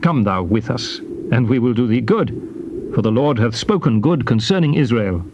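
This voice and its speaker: An actor playing an earnest, fervent Hebrew leader in a dramatized scripture recording, in a declamatory manner. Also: male voice, mid-range voice, expressive, normal speed, natural voice. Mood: earnest inviting. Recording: dull tape, faint noise.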